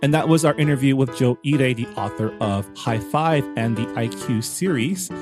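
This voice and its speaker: Mid-pitched man hosting a podcast. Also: moderate pace, music in the background. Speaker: podcast host.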